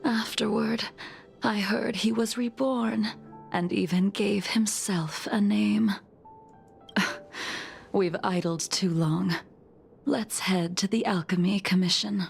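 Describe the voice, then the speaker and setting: An actress playing a cool, teasing, mysterious woman in a video game, with a hushed, breathy voice. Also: medium pitch, steady tone, slow, faint music behind. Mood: cool, decisive.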